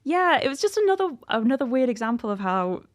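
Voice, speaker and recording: A woman's voice: high voice, sing-song, fast talker. A woman in her thirties, an author chatting casually and animatedly in an interview, in an informal way. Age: 30s.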